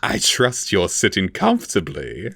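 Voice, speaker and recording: A man voicing a pompous, cruel academic interrogator in an audiobook, speaking slowly with a sneering, purring delivery. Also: lowish voice, wildly swooping pitch, gravelly, bright, forceful tone, no echo, studio quality, full character voice. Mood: sneering, menacing. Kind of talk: audiobook character voice.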